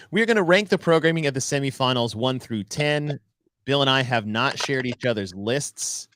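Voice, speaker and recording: A man's voice: mid-range voice, animated, moderate pace, fairly bright tone. A man co-hosting a casual podcast, teasing his partner and laughing often as he talks. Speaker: podcast co-host.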